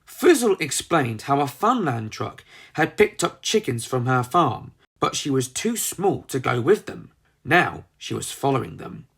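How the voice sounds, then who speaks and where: Brief: children's storybook narrator, medium pitch with wide swings, dramatic reading. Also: very animated, measured pace, fairly bright tone, slightly rough.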